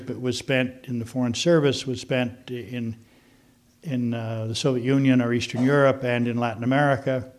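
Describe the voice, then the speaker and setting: An older man, a former diplomat, giving an unscripted talk on foreign policy, halting with many fillers and restarts. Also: medium pitch.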